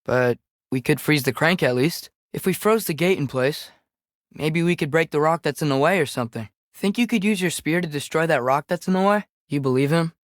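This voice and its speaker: Earnest, high-pitched teenage boy adventurer, acted by a young man. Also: brisk, dry recording, studio quality, lightly acted. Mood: curious, suggesting. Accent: American. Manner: youthful, casual, questioning. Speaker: video game companion character. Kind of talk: scripted game dialogue, conversational.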